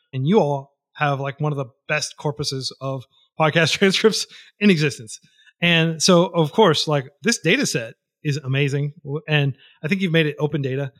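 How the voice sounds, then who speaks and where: A man with a somewhat high-pitched voice talks freely as a podcast guest. He rambles and restarts often, stringing thoughts together with fillers. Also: a bit bright. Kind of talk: casual podcast conversation.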